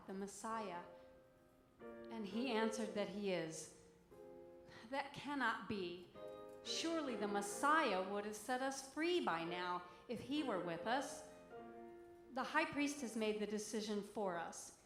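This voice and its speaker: In a roomy, reverberant space with music underneath, a woman acts an anxious council elder of biblical times. The monologue is earnest and reflective, told as a troubled eyewitness account at a medium pitch. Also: natural voice. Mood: troubled, doubtful. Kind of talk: dramatic monologue reading in church. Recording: echoey church, music behind.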